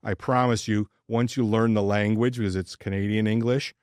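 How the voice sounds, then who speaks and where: A man's voice: fairly low pitch, steady tone, normal speed, darkish tone, clear, resonant tone, no room sound, studio quality. A man co-hosting a casual sports podcast, chatting informally and jokingly, often stammering and repeating his words. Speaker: podcast co-host.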